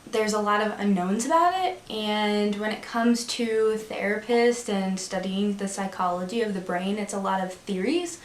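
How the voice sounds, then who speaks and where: A young adult woman explaining her views in a measured, steady way during an interview. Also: mid-range voice, echoey room, a little hiss or hum.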